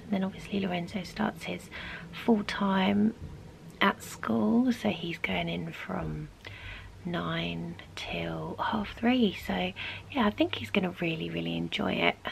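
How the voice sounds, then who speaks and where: A woman's voice: mid-range voice, creaky, a little hiss or hum, rough recording. A woman, half-whispering casual chat while lying in bed with a stuffy nose and a sore throat, recorded on a camera close to her pillow. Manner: half-whispered, sleepy morning talk from bed. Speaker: vlogger.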